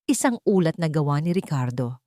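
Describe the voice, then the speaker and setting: A woman plays a suspicious billionaire boss in an audio drama. The delivery is measured and authoritative, with wide swings in pitch and a dry, close sound. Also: very animated, dark tone, no echo. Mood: firm, secretive. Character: suspicious, commanding billionaire businessman.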